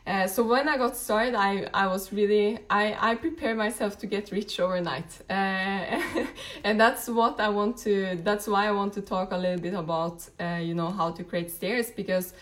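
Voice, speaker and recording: A young woman talks loosely to her live-stream viewers. She leans on fillers and restarts often, and her pitch sits in an ordinary middle range for a woman. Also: normal speed, a bit bright. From Norway. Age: late 20s. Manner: chatty, rambling, frequent fillers and restarts. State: a bit tired, just woken up. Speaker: network marketing team leader. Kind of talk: casual live-stream talk to an audience.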